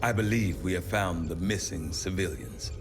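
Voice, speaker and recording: A low-pitched actor as a male video game scientist, erudite and grave. He chooses his words formally and delivers them with measured precision. Cinematic music plays strongly behind him. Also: close to an everyday voice. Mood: appalled, grave. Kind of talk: scripted video game cutscene acting.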